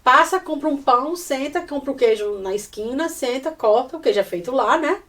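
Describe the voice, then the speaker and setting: Animated Brazilian woman, a bit off-mic. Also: high voice, fairly quick. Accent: Brazilian Portuguese. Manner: animated, expressive, acts out little quotes. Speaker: podcast guest. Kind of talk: casual chat. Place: indoors in Ireland. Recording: voice a little distant from the mic.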